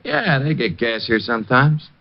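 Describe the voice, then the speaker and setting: A streetwise male pump attendant in a 1940s radio noir. He talks in rough slang, his pitch bouncing widely. The sound is dull and aged. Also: big pitch swings, normal speed. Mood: grudgingly helpful. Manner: blunt, slangy, belligerent grammar-mangling. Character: surly, streetwise male gas station attendant. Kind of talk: 1940s radio drama acting. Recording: dull vintage radio recording.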